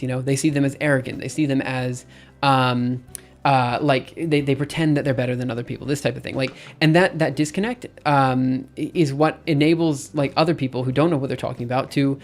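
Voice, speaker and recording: A man in his thirties talking casually on a live stream, thinking out loud with lots of fillers and restarts. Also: medium pitch. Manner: rambling, thinks aloud with many fillers and restarts.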